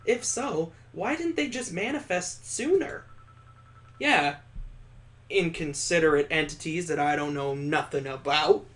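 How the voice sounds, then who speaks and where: A man with a slightly high voice narrates a visual novel for a gaming playthrough. He moves between reading the prose out and tossing in wry, joking asides in a casual way. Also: unhurried, a bit bright. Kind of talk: let's play read-aloud with ad-libbed commentary.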